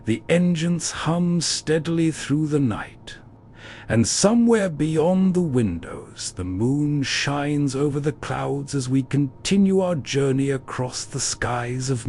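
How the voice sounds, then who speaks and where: A man hosting with scripted, measured, scene-setting narration. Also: a little mellow. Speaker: host. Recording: faint rumbling background noise.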